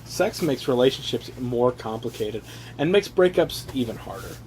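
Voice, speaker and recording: A man's voice: mid-range voice, lively intonation, moderate pace, a little mellow. A young man reading aloud to people he knows in a mock-earnest, sweary, jokey way, slipping into casual asides. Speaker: reader among his brothers. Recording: noisy amateur home recording.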